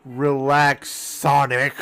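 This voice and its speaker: A man's voice: mid-range voice, flat delivery, drawn-out words, creaky, fairly bright tone, exaggerated voice. A man voicing a young male fox sidekick with a nonchalant, know-it-all delivery in an amateur story read. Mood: breezy, explaining. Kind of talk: amateur fan-fiction table read.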